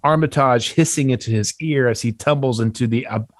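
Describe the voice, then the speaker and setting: A man's voice: mid-range voice, fairly quick, no room sound. Man narrating horror screenplay, dry close sound. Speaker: stage directions reader.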